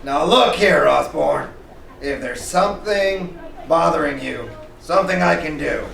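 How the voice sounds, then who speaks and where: A man's voice: fairly high pitch, a little slow, vocal fry, fairly bright tone, heavily acted. A man playing a blustering, bombastic, grouchy newspaper boss from a comic book. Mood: gruffly concerned. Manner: blustering, indignant, bombastic declamation. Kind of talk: comic book dramatic reading before an audience. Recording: echoey, distant live recording with noise.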